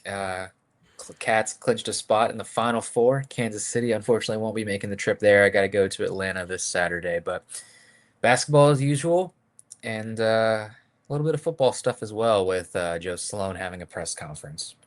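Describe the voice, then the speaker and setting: Male podcast co-host, fairly low voice, easy conversational storytelling. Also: brisk. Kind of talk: casual sports chat.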